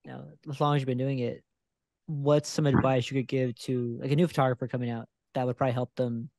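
A man in his thirties hosting a podcast interview, talking in a relaxed, candid way into a close desk microphone. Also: mid-range voice, quick pace, dry recording. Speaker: podcast host, photographer. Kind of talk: casual interview. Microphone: headphones and a desk microphone close up.

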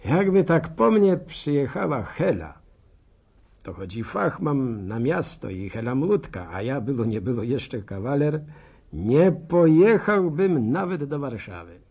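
An actor playing a nostalgic village bachelor telling a radio serial story in folksy, musing, speech. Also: male voice, mid-range voice, sing-song, a little slow, mellow, slightly rough, heavily acted. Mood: fond, nostalgic. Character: nostalgic village bachelor narrator, war veteran.

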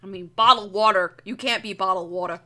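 A young woman with a bright, mid-pitched voice streams casually as she draws. She rambles in a loose, jokey way and swears freely. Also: brisk. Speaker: streamer, artist. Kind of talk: casual livestream chat while drawing.

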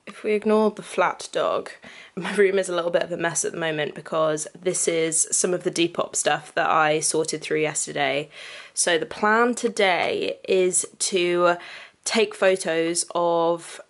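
A young woman in her twenties vlogs from her small bedroom, talking straight into a camera held at arm's length. Her voice sits a touch low, and her chat is easy and self-mocking, with a laugh never far off. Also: fairly even intonation, measured pace. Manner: chatty, self-deprecating, laughs at herself. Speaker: vlogger. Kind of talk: casual vlog chat. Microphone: camera mic at arm's length.

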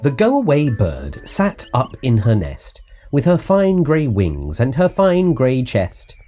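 A man reading a children's audiobook as narrator, in an expressive, measured read-aloud style. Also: mid-range voice, soft background music. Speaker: storybook narrator.

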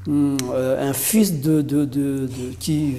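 Male interviewer, Rwandan accent, mellow tone, hesitant and repetitive phrasing. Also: medium pitch, steady tone, a little hiss or hum. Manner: halting, searching for words, repeating himself. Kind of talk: sit-down interview. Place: indoor showroom with product shelves.

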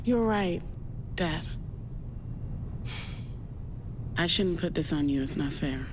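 Headstrong young woman, lively pitch. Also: moderate pace, background noise. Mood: subdued, apologetic. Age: young adult. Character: determined, headstrong grown daughter of a survivor doctor. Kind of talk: dramatic video game acting.

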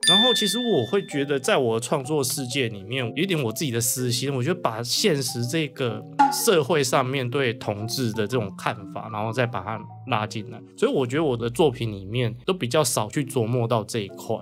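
A man's voice: medium pitch, animated, brisk, few pauses, over music. A man with a Taiwanese Mandarin accent talking casually and reflectively as an interview guest.

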